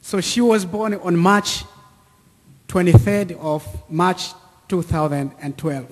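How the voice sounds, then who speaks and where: A man in his thirties with an East African accent, giving a personal testimony to an audience in deliberate, short repeated phrases. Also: sits high. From Central or East Africa, near Lake Tanganyika. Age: 30s. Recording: echoey hall with long reverb.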